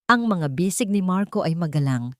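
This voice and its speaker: A woman's voice: lowish voice, animated, normal speed, dry recording, studio quality. A woman reading scripted dramatic narration of a romance story as a storyteller.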